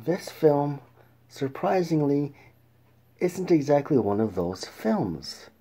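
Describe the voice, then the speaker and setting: A man hosting a casual film review show, talking in a halting way with restarts and throat clearing. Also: a little high, sing-song, warm tone. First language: English.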